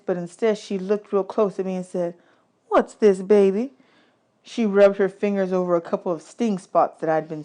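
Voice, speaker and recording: A woman's voice: medium pitch, mellow. Woman reading a children's novel aloud. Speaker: audiobook reader. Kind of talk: scripted storybook narration.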